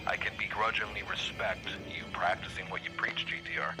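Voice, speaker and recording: A skeptical radio interviewer played by a man with a fairly low voice. He questions in a dry, sardonic, incredulous manner for a satirical broadcast, with music clearly audible underneath. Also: steady tone, fairly quick, natural voice, poor audio. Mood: dry disbelief. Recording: music behind the voice.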